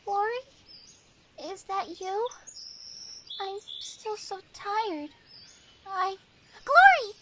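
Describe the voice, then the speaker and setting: A woman's voice: very high-pitched, expressive, extremely slow, long pauses, background noise, exaggerated voice. A woman voicing a tiny, sweet, childlike female dragon in a fantasy dub, cutesy and pleading. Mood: sleepy. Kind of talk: dubbed fantasy drama acting.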